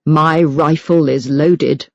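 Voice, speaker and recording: A woman's voice: deep voice, steady tone, takes it slowly, gravelly, no room sound, exaggerated voice. A woman reading as a venomous, self-righteous religious hate-mail writer in an audiobook, scornful and emphatic. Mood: scornful, threatening. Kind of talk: dramatised audiobook reading of letters.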